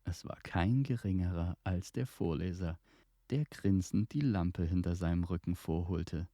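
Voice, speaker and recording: A man narrating a fantasy tale in a suspenseful, measured storytelling manner. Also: low-pitched, mellow, no room sound, clean studio sound. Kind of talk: dramatic scripted fantasy narration.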